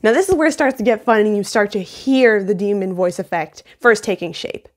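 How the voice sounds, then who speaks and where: A woman's voice: mid-range voice, brisk. Young woman giving a playful tutorial. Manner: playful, self-deprecating humour. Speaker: tutorial host. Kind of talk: casual scripted video tutorial. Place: small indoor home room. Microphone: phone on a mount, about arm's length or a little more.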